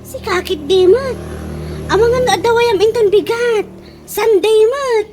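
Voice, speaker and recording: A woman's voice: extremely high pitch, measured pace, darkish tone, slight background noise. A woman playing a fussy, girlish young mother in an Ilocano-accented comedy radio drama, exclamatory and gossipy. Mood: pleading, excited. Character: girlish young working mother fussing over her hair.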